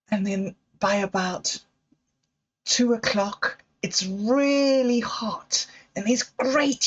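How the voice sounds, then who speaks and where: Slow, unhurried woman telling stories. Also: mid-range voice. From Zimbabwe. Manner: unhurried, laughing, trailing off. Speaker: livestream host. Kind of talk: rambling personal storytelling. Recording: dull lo-fi sound.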